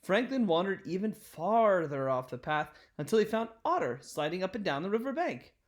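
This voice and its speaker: A man reading a children's picture book aloud in an expressive, deliberate storytelling style. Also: sits high.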